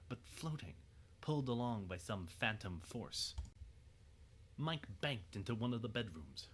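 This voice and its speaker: A man narrating a scripted dramatic story in a measured, suspenseful way. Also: medium pitch, slight background noise.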